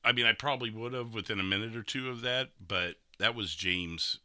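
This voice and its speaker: A man's voice: fairly low pitch, brisk, pushed and bright, no room sound, clean studio sound. A man hosting a casual discussion show, talking through recorded gameplay in an informal way with some swearing. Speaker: podcast host.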